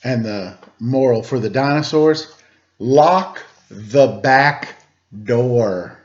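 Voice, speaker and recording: A slightly dull, lo-fi recording of a man telling a children's story. He takes his time with dramatic pauses and swings his pitch in a very lively way. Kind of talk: animated children's story narration.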